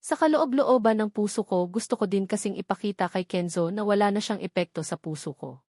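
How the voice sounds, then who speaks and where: A woman's voice: medium pitch, fairly quick, darkish tone, no room sound. Woman narrating a novel, dry close sound. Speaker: audiobook narrator. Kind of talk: scripted first-person novel narration.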